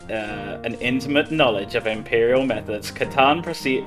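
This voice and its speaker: Man, medium pitch, casual gaming commentary, speedrunner, faint game music behind. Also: a bit bright. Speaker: speedrunner playing live at a gaming marathon.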